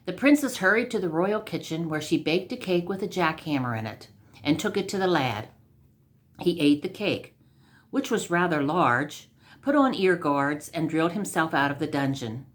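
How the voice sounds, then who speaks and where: A woman's voice: low voice, animated, moderate pace. A woman storyteller reading a scripted comic tale aloud.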